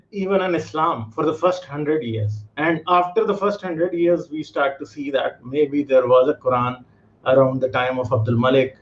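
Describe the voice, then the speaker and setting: A man from Pakistan, calling in and explaining his views earnestly, with many fillers. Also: a little high. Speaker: caller. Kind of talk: call-in discussion. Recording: muffled lo-fi call audio.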